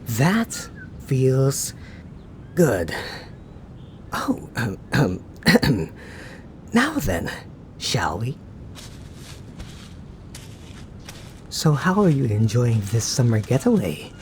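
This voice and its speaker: A man playing a suave, teasing, laid-back young knight in an ASMR roleplay, talking to the listener in an unhurried, musing way. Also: mid-range voice, big pitch swings, a little mellow, slight background noise. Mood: relaxed.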